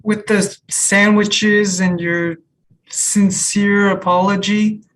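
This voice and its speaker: A woman acting an easygoing, frank, teasing co-op employee in a comedy table read, with a deadpan, measured delivery. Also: mid-range voice, close to an everyday voice. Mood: puzzled. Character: easygoing, frank, teasing new co-op hire Noah.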